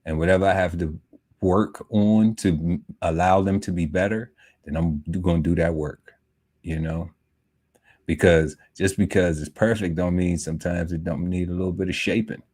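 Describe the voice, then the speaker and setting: A man's voice: low voice, fairly even intonation, moderate pace, darkish tone. A man in his forties chatting casually on a podcast panel, talking in a loose, laid-back way with many fillers. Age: 40s. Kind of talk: casual chat. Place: home podcast setup.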